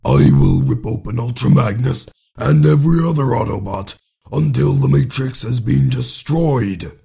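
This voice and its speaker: A man's voice: mid-range voice, big pitch swings, a little slow, gravelly, mellow, slightly rough, full character voice. A man voicing a ruthless, scornful robot tyrant with a menacing, mocking manner. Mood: scornful menace. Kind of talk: fan voice reel, cartoon villain acting.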